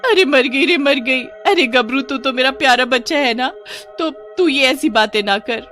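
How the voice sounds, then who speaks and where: Woman putting on a high-pitched scolding village wife. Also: measured pace, fairly bright tone, soft background music. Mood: pleading panic. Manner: high, nagging exclamations. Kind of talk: Hindi horror story character voice.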